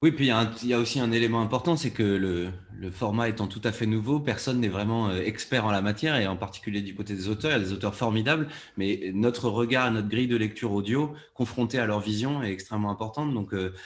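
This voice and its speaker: Male producer for panel talk. Lowish voice, hesitant, word-repeating delivery. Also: quick pace, hardly any pauses. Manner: hesitant, repeats words while formulating. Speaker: podcast producer, panel guest. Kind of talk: panel discussion.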